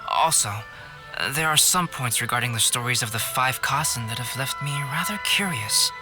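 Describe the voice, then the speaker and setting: Calm, measured young artist played by a man. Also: mid-range voice, lightly acted. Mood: pondering. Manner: measured, formal, thoughtful. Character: calm young male artist, analytical, polite, reserved. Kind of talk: video game voice acting. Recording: music behind the voice.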